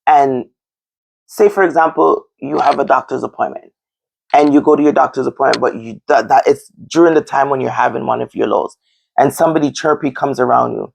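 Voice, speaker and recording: A Jamaican woman with a low voice and plenty of gravelly fry hosts a casual discussion. She talks bluntly and emphatically, repeating phrases to press her point. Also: brisk, a little mellow, rough recording. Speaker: discussion show host.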